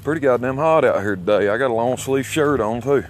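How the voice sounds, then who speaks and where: A man's voice: mid-range voice, a little fast. A man with an Alabama Southern accent giving a rambling, folksy, boastful and coarse commentary as he walks outdoors. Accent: Alabama Southern American. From Alabama, United States. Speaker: amateur vlogger. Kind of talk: rambling walk-along commentary. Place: outdoors, walking along small-town streets. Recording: noisy outdoor recording with traffic sounds. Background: outdoor ambience, passing vehicles.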